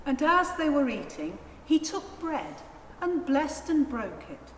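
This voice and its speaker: A woman's voice: high voice, sing-song, slow. A woman narrating a dramatised Gospel in a formal, declamatory reading style. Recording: echoey church hall, faint noise.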